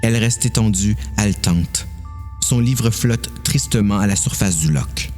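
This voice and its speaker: A man's voice: fairly low pitch, measured pace, darkish tone, faint music behind. A man with a Quebec French accent narrating a dramatised horror story.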